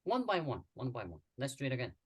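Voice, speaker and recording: Low, very slow-talking woman tutor, lively pitch, warmly encouraging and repetitive. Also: slightly rough. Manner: encouraging, coaxing, repeats herself. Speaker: Korean language tutor. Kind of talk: online tutoring lesson.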